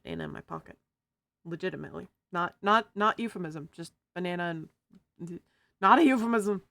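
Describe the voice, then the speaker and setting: A feminine-voiced streamer chatting casually while drawing, giggling often and cracking silly jokes. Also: female voice, fairly low pitch, sing-song, a little fast, vocal fry, fairly bright tone, dry recording. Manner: giggly, jokey, laughs often.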